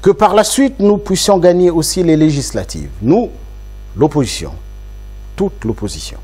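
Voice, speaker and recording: A man's voice: on the high side, very animated, moderate pace, warm tone, husky. A man from Congo, an opposition politician speaking in an interview, making his points emphatically with rhetorical repetition. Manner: emphatic, rhetorical, repeats phrases for effect.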